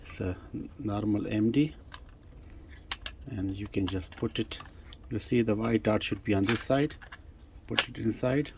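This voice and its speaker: Low-voiced man, halting non-native English speaker. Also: gravelly, noisy background. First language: not English. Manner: hesitant, halting, step-by-step explaining. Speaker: presenter demonstrating a vintage gadget. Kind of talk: casual unscripted product demonstration.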